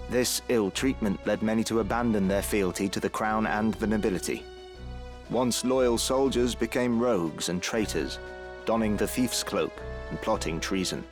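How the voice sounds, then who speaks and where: An actor narrating as a solemn chronicler of a war-torn fantasy kingdom, in formal, measured storytelling. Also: male voice, lowish voice, natural voice. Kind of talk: scripted narration.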